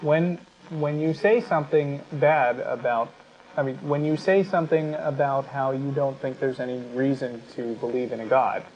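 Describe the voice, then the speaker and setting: A man co-hosting a talk show, explaining his points in a measured, analytical, conversational way. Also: medium pitch, steady tone, a little mellow. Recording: slightly dull audio with faint noise.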